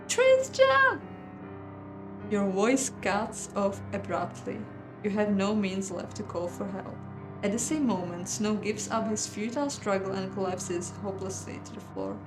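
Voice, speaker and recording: A woman's voice: mid-range voice, darkish tone. Woman narrating a game in an echoey room. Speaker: game playthrough host. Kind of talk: reading a visual novel aloud with casual commentary. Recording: roomy echo, music behind.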